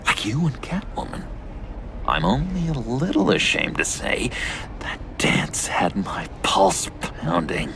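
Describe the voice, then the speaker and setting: An actor playing a lovesick, unhinged, childlike young man in a video game, halting and breathy in a theatrical delivery. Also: on the low side, sing-song, background noise, full character voice. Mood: lovestruck, dreamy. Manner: halting, breathy, swooping between whispers and outbursts. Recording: music behind the voice.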